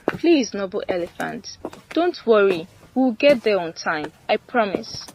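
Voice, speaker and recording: A woman voicing an old, wise, confident male tortoise with formal, measured speech in a folktale. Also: mid-range voice, very animated, a little mellow, a little hiss or hum. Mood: reassuring.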